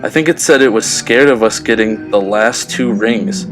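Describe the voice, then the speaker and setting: A man's voice: fairly low pitch, moderate pace, music in the background, lightly acted, rough recording. A man playing an uneasy, brooding teenage superhero in an audio drama, with a casual, hesitant way of talking. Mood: suspicious, hesitant.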